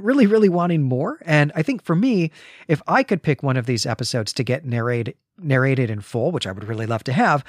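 A man's voice: medium pitch, dry recording, studio quality. A man co-hosting a podcast discussion, explaining things with enthusiasm and often checking in with "right?". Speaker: podcast co-host. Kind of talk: podcast discussion with prepared recap.

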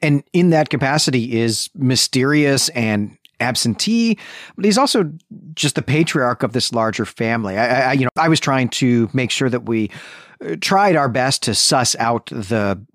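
A man's voice: mid-range voice, expressive, normal speed, a bit bright, no echo, clean studio sound. A man hosting a podcast, recounting and discussing a story in a conversational way. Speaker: podcast host. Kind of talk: animated story recap and literary discussion.

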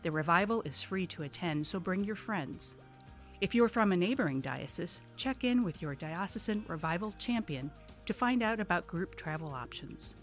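A woman announcer reads an event promotion in a warm, inviting voice. Her pitch is a little low. Faint music runs quietly behind her. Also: lively intonation, normal speed. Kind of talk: scripted event ad.

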